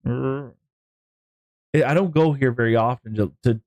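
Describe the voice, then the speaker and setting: A man's voice: mid-range voice, unhurried, darkish tone, no echo. Rambling Texan man streaming. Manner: rambling, jokey, talks to chat. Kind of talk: live-stream gaming chat.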